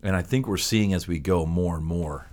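A man's voice: low voice, moderate pace, a little mellow. An American man hosting a casual ministry talk show, enthusiastic and jokey, often repeating his phrases. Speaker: podcast host.

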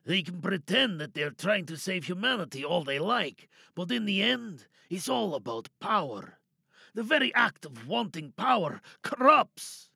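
Man acting high, gravelly, cynical captive scientist. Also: sharp tone, no room sound, clean studio sound, full character voice. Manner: sardonic, probing, rhetorical questions. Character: captive lab scientist, cynical, wary, bargaining and quietly menacing. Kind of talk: dramatic audio drama monologue.

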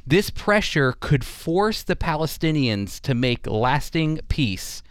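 A man's voice: on the high side, big pitch swings, takes it slowly. An American man hosting a radio program, explaining and commenting in an emphatic, explanatory way. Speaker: radio host and teacher.

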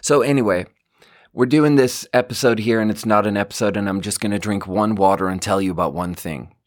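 A man's voice: fairly low pitch, fairly quick, dry recording. A man hosting a casual solo podcast, talking in a rambling way, correcting himself and chuckling now and then, close to the mic in a small home studio. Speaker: podcast host.

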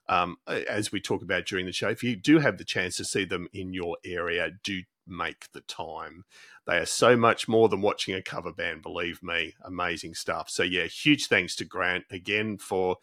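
A man's voice: fairly low pitch, bright, forceful tone, no room sound, clean studio sound. A man hosting a casual interview podcast, effusive and affirming as he talks. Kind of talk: casual interview and show sign-off.

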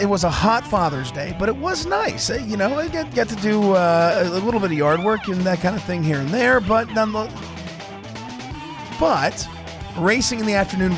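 A hoarse man with a slightly higher voice hosts a relaxed motorsport radio hour from a studio mic. He rambles and restarts his sentences, and music plays clearly under his talk. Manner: rambling, repeats words, restarts often. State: hoarse from laryngitis. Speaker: radio show host. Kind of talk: casual motorsport radio chat. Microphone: studio microphone.

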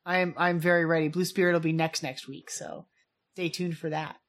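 A woman's voice: low-pitched, level tone, moderate pace. Chatty woman co-hosting a podcast. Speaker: podcast co-host. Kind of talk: casual banter podcast chat.

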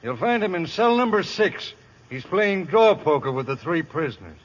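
An actor playing a lazy, wisecracking small-town desk cop in a 1930s radio comedy, with a slow deadpan drawl. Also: male voice, a little high, husky. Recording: dull, echoey 1930s radio broadcast.

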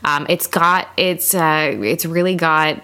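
A woman's voice: low voice, steady tone, bright, forceful tone, smooth. A woman co-hosting a podcast, chatting casually about books in an informal, joking way. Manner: chatty and informal, quick to joke. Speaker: podcast co-host. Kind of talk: casual book discussion chat.

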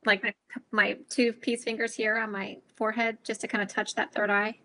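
Bright-voiced woman calmly explaining breathing stuff, easygoing. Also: medium pitch, moderate pace. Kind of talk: casual chat about breathing practice.

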